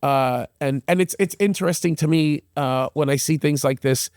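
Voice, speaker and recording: A man hosting a conversational interview, giving his opinions emphatically, often halting and repeating phrases. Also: on the high side, darkish tone, no room sound, clean studio sound. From United States, Egyptian parents. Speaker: interview host.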